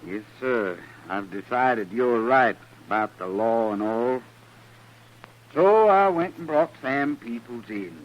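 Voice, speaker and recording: A man's voice: mid-range voice, expressive, takes it slowly, muffled recording. A man acting a smug, falsely agreeable cattle boss with an in a 1950s radio drama. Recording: noisy old radio recording.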